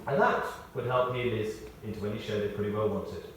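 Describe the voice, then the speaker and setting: A man reading a prepared tribute aloud in short, measured phrases, with wry storytelling. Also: on the low side, fairly even intonation. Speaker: tribute speaker. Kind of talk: read-out memorial tribute with anecdotes. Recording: echoey hall, distant, faint noise.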